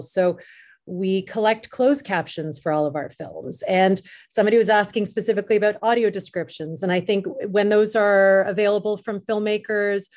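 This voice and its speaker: A woman's voice: medium pitch, a little mellow. A woman speaking as a panel guest, explaining things fluently in a conversational style. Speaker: film festival organizer, panel guest.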